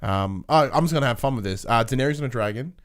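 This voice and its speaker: A man co-hosting a casual podcast, talking in a playful, excitable way full of exclamations. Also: mid-range voice, fast talker. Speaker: podcast co-host.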